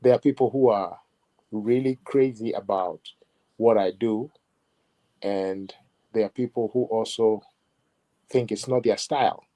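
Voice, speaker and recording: A man speaks as a musician guest in an interview. His voice sits low and sounds warm and mellow. He reflects on each point at his own pace and often adds "you know" as he goes. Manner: reflective, measured, with many "you know" asides. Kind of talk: interview conversation.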